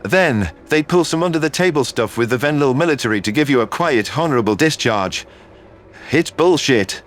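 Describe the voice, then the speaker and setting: Actor playing an earnest, hurt human friend, music behind. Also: male voice, mid-range voice, expressive, moderate pace, a bit bright, close to an everyday voice. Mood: hurt, firm. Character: human man, concerned, hurt, loyal soldier friend. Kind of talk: sci-fi audio drama dialogue.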